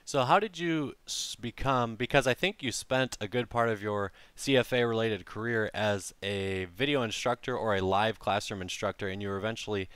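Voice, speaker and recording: Low smooth-voiced male interviewer. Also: fairly bright tone, dry recording. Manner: measured, long framing questions. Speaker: host, interviewer. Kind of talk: interview questions.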